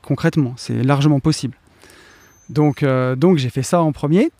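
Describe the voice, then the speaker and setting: A man in his late thirties, a guest in a casual interview, talking about his own investing in a relaxed way with fillers. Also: mid-range voice, normal speed, dry recording. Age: 30s.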